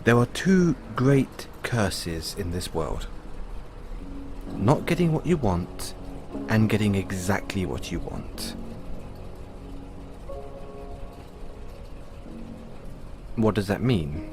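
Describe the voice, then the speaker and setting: A man playing a patient owner talking to his android in an audio roleplay, explaining in a musing way with pauses. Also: on the low side, animated, over music. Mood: musing, explanatory. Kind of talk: audio roleplay, conversational storytelling.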